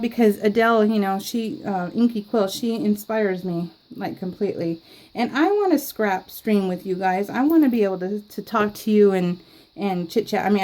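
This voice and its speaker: A woman chatting casually to her viewers while she scrapbooks, giggling often and filling pauses with ums and restarts. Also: mid-range voice, darkish tone, poor audio. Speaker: scrapbooking YouTuber. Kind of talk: casual chat while crafting.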